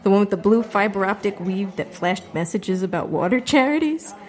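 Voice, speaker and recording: A wry young storyteller at an open mic, played by a woman. She talks in a chatty, self-deprecating way, at a middling pitch for a woman. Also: expressive, brisk, natural voice. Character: wry young woman doing a stage monologue.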